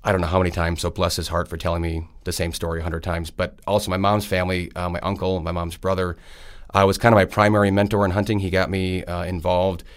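A man's voice: sits low, flat delivery, fast talker. A man in his thirties from Wisconsin, answering interview questions in a friendly, rambling way with many fillers. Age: 30s. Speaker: state senator.

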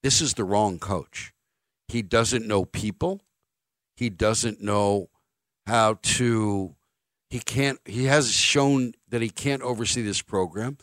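A man's voice: lowish voice, slow, a bit bright, dry recording, clean studio sound. A man hosting sports talk radio, giving an opinionated monologue in a blunt, emphatic way, repeating phrases for stress. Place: dry radio studio.

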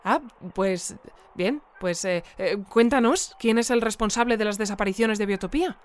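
A woman's voice: medium pitch, normal speed, smooth, close to an everyday voice. An actress playing a polished but easily flustered female radio news presenter in an audio drama.

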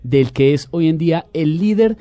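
A man's voice: a little high, expressive, moderate pace, warm tone, dry recording. A man co-hosting an interview, adding effusive remarks about the guest. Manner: effusive, adds remarks to the host's lines. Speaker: co-host. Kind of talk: enthusiastic commentary.

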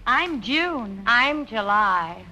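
A coy young woman with a high, bright, chirpy delivery. It sounds like 1940s radio comedy with faint hiss. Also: animated, drawn-out words, heavily acted. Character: coy, chirpy young wedding guest sisters.

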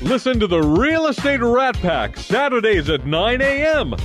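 A man's voice: high-pitched, very animated, normal speed, a bit bright. A man reading an upbeat, showy radio promo. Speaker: promo announcer. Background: music bed.

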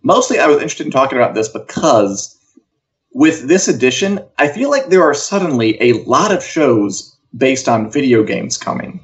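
A man's voice: mid-range voice, very animated, moderate pace, lo-fi sound. A man co-hosting an entertainment chat show, talking casually and wryly with offhand asides. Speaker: co-host of an entertainment news live show. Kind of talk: casual opinionated chat about TV and games.

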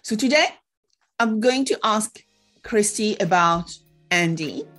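A woman hosts an interview podcast with a voice of medium pitch. She delivers prepared introductions and open questions to her guest. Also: expressive, slow, fairly bright tone, soft background music.

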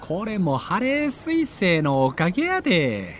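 High, animated male storyteller. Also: a little slow, faint music behind, some chatter behind. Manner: vivid storyteller, builds excitement. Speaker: narrator. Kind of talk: dramatic scripted narration.

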